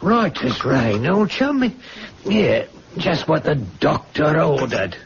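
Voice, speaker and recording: An actor playing a jittery, folksy drinking chum who stammers and soothes, in old radio drama style. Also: male voice, on the high side, very animated, slow, exaggerated voice. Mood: chummy. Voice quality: creaky, swooping voice. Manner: stammering, colloquial, placating. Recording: dull lo-fi recording, faint noise.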